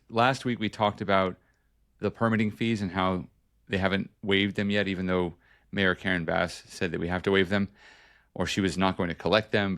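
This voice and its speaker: A man's voice: low voice, fairly bright tone. A man hosting a podcast as a journalist, giving casual news updates in a chatty, self-correcting way.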